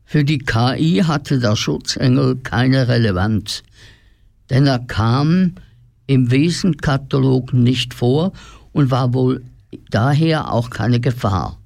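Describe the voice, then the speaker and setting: A man's voice: mid-range voice, slow, gravelly, a little mellow, dry recording, clean studio sound. A man narrating a scripted audio story.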